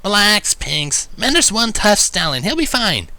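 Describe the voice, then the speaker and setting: Man doing a brash, raised-pitch tomboy pony. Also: sing-song, measured pace, pushed and bright, full character voice. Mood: calm, assured. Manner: casual, teasing. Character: brash, cocky young female pegasus pony, loyal.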